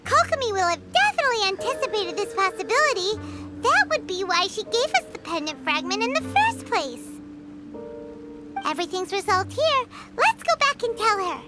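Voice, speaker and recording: A woman voicing a tiny, childlike girl companion in a video game, earnest and excitable with a squeaky voice. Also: normal speed, over loud music. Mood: puzzled, then excited. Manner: refers to herself in third person. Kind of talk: video game character acting.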